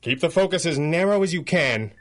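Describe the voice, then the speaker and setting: A man playing a calm, wry, gentlemanly masked hero in a 1930s-style radio serial, dry and understated. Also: fairly high pitch, brisk, background noise, lightly acted. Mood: wry urgency.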